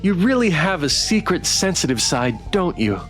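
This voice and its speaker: A man streaming a visual novel, reading it aloud dramatically and adding playful, expressive commentary. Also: high voice, normal speed, faint music behind.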